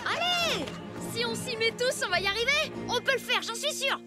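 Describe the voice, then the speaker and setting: A spirited prehistoric cartoon kid, extremely high in pitch and insistent. The child bosses everyone along in exclamatory phrases, over loud music. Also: female voice, lively intonation, normal speed, over a noisy crowd. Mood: resolute. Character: spirited, bossy young prehistoric child, determined peacemaker. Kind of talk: French animated cartoon dubbing. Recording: music behind the voice.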